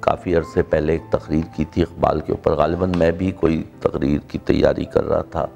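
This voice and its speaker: A middle-aged man with a Pakistani accent, presenting in a measured, formal, oratorical way. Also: a bass voice, steady tone, vocal fry, mellow, soft background music. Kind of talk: introduction and formal recitation of a written speech.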